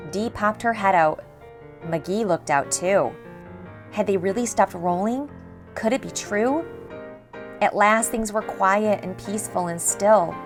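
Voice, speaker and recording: A woman reading a rhyming picture book aloud for children in an expressive, measured storytelling style. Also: medium pitch. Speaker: storybook reader. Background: faint background music.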